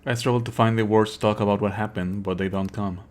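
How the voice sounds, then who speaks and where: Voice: sits low, fairly even intonation, fast. A streamer giving wry, sweary, casual commentary on a game and reading its narration aloud in a live let's play.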